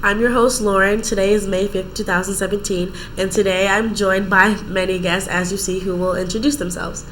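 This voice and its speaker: A woman's voice: mid-range voice, steady tone, a little fast, hardly any pauses, fairly bright tone, a little hiss or hum, rough recording. Eager teenage girl hosting a show. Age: teens. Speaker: student host. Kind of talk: scripted show opening.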